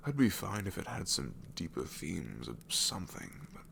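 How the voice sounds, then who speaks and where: A man voicing a sassy male shadow demon in an ASMR roleplay, snarky and rambling with soft whispered asides. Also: low voice, wildly swooping pitch, moderate pace, vocal fry, slight background noise, exaggerated voice.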